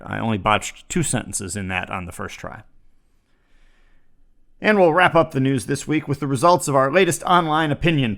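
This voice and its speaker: A man's voice: mid-range voice, a bit bright. A man hosting a comedy show, reading scripted parody news in a dry, mock-serious newscaster manner. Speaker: comedy podcast host.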